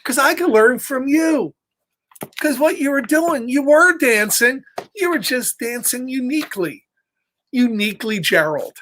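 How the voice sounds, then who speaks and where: A man voicing a caring, loyal female pig from a children's picture book, in a gentle, earnest manner. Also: very high-pitched, expressive, unhurried, slight background noise, voice pushed up, exaggerated voice. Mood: encouraging.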